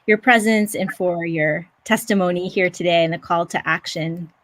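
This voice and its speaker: A lower-pitched woman leads an online event over a video call. She thanks speakers and introduces the next one in a calm, measured way. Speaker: event moderator.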